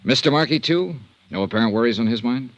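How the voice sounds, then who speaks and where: A man's voice: medium pitch, lively intonation, quick pace, dry recording, lightly acted. An actor playing a shrewd, insistent male investigator in vintage radio drama, with wry, probing questions.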